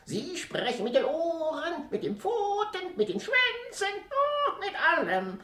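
A woman's voice: very high-pitched, sing-song, unhurried, fairly bright tone, echoey room, heavily acted. A woman playing a clever, teacherly parrot in a children's radio play, with a theatrical, instructive sing-song delivery. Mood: encouraging, schooling. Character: clever, teacherly female parrot advising a doctor.

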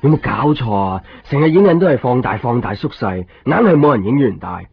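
A man's voice: mid-range voice, very animated, a little fast, creaky, breathy edge, heavily acted. An actor playing an awkward, grumbling, easily flustered man in a radio drama. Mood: exasperated. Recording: dull 1990s radio recording, faint music.

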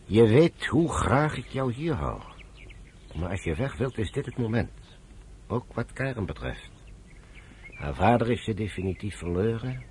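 An actor playing a frank, fatherly military adviser in a Dutch radio play, with a measured way of advising. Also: fairly low pitch, big pitch swings, a little mellow, a little hiss or hum. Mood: frank, grave.

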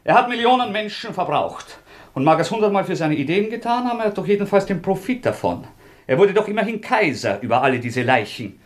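An actor in a radio drama playing a feverish, obsessive young former student, halting and repeating himself with intensity. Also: male voice, high-pitched, sing-song. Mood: brooding, defiant. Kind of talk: German radio drama acting.

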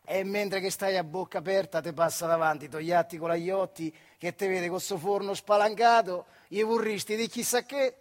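Man acting hot-headed working-class boxer for stage piece, high male voice, colloquial central Italian Marche accent, blunt and teasing. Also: steady tone, moderate pace, few pauses. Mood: eager, curious. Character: stubborn, hot-tempered young factory worker and amateur boxer. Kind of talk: theatrical monologue dialogue.

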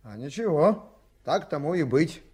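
A man's voice: fairly high pitch, very animated, measured pace, a crowd in the background. An actor playing a resolute, plain-spoken foreman of a timber rafting crew in a Soviet radio drama. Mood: frank, consulting.

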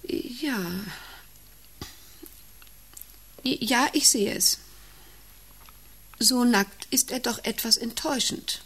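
An actress playing a prim, controlling factory boss with curt, imperious commands in a satirical radio drama. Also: female voice, fairly high pitch, slow, a bit bright, slightly rough, full character voice. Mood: hesitant, appraising. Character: controlling, prim female factory boss, cool turning hysterical.

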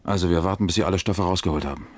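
Deep-voiced, commanding detective. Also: male voice, very fast, no echo. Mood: calm, watchful. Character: calm, commanding male East German police detective. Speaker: actor in a crime radio drama. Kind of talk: mid-century German crime radio play acting.

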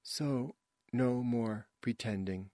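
A man voicing a reluctant young woman who recites romantic stage lines softly and woodenly in an audiobook. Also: mid-range voice, dry recording, natural voice. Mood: reluctant, quiet. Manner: slow, soft, wooden delivery.

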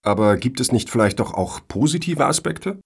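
A man's voice: medium pitch, expressive, moderate pace, vocal fry, breathy edge. A man playing a mild, wry host in a satirical radio play, speaking in a conciliatory way.

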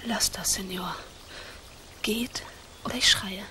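A woman's voice: mid-range voice, fairly even intonation, slow, sharp tone, a little hiss or hum. An actress playing a proud, spirited young noblewoman in a radio play, speaking formally and dramatically. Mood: urgent, hushed.

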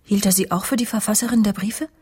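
An actress playing a proud, easily wounded, sharp-tongued career woman who narrates a radio crime drama in a cool, clipped way. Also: mid-range voice, fairly even intonation, fast, slightly rough. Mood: brooding, self-assured. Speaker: first-person narrator and lead of a radio drama. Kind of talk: radio crime drama acting with inner monologue.

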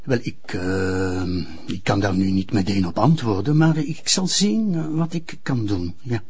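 An actor playing a pompous, touchy public prosecutor in a TV crime drama, officious and formal. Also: male voice, lowish voice, animated, unhurried, darkish tone, breathy edge. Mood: flustered, obsequious. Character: pompous, touchy male public prosecutor, authoritarian yet obsequious.

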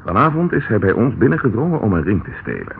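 An actor playing a determined, persistent police inspector in a Dutch radio detective drama, questioning formally and insistently. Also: male voice, on the low side, wildly swooping pitch, quick pace, gravelly, exaggerated voice. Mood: urgent, reasoning. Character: determined male Scotland Yard police inspector, brisk and persistent. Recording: tinny, band-limited, noisy old radio recording.

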